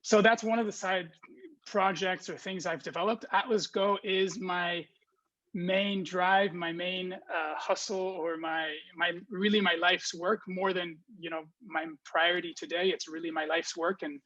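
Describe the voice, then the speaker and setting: A man's voice: sits high, fairly even intonation, unhurried, pushed and bright, lo-fi sound. A man in his twenties from Belgium, giving a friendly, informal talk to students as a guest speaker, with many ums and restarts. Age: 20s.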